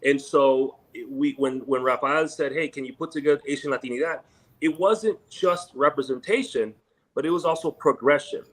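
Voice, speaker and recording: Energetic slangy male panel moderator. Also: on the high side, normal speed, rough recording. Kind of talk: casual panel moderation, mixing in Spanish.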